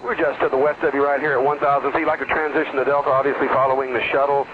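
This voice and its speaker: A man, a helicopter pilot, making brisk, courteous calls to air traffic control over radio. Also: medium pitch, level tone. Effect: radio transmission. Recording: very tinny, noisy cockpit aviation radio.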